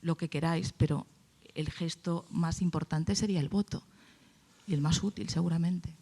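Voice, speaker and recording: Gravelly-voiced woman speaking on a panel. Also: on the low side, steady tone, moderate pace, dark tone. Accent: Spain Spanish. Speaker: panelist at a congress. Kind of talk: opinionated panel discussion talk.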